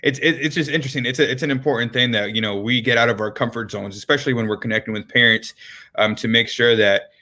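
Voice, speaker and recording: A man's voice: medium pitch, steady tone, fast, bright, forceful tone. A man hosting a live-streamed interview, talking in a friendly way with many ums and repeated words. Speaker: interview host.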